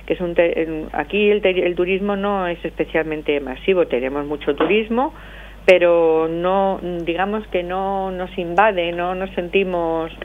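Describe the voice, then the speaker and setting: A middle-aged woman from Madrid, with a Madrid accent, talks at length and with many pauses as a phone guest on a radio interview. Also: lowish voice, a little hiss or hum. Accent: Madrid Spanish. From Madrid, Spain. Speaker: association spokesperson, phone guest. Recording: tinny phone line.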